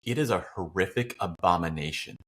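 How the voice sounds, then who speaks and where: A man's voice: low voice, fairly quick, a bit bright. An American man bantering casually as a co-host, playful and wry, swearing freely. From United States. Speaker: podcast co-host.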